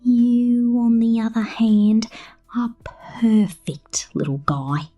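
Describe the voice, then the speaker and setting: A woman's voice: on the high side, fairly even intonation, takes it slowly, dark tone, dull audio, faint music behind. A woman voicing a possessive, sinister robot mechanic in an ASMR roleplay, teasing and cooing intimately, partly in whispers. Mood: doting, controlling.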